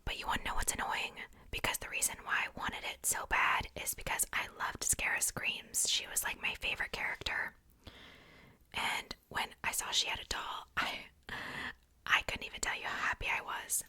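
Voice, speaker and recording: Bright whispering woman, ASMR. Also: normal speed. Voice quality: breathy unvoiced whisper. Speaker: ASMR video creator. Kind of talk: whispered ASMR, casual show-and-tell. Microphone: close sensitive microphone.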